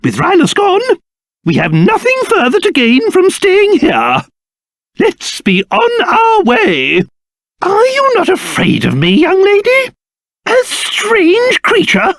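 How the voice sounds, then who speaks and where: A man's voice: extremely high pitch, wildly swooping pitch, takes it slowly, fairly bright tone, dry recording, studio quality, full character voice. A man voicing a fussy, haughty old cursed king, a creature and doting father in a video game, with a pompous, theatrical, exclamatory manner. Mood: dismayed, resolute, then curious, gentle. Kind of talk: video game character voice acting.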